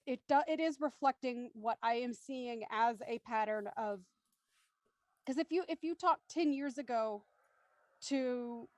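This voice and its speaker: Thoughtful, articulate woman, higher voice, dry sound. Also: dry recording. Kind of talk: thoughtful panel discussion.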